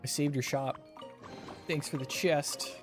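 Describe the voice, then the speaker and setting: A man's voice: fairly high pitch, fairly even intonation, a little slow. A man doing casual, joking video game commentary, teasing the characters as he plays. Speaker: streamer playing a video game. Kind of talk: casual game commentary, reading dialogue aloud. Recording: faint game music behind. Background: game music.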